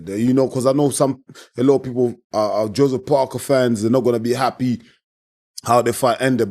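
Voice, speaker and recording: A man whose voice is hoarse and worn out, speaking in a mellow, warm tone. He talks casually and with passion, repeating himself and leaning on friendly filler words as he reacts on his own channel. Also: medium pitch. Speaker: boxing channel host. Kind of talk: casual post-fight reaction monologue.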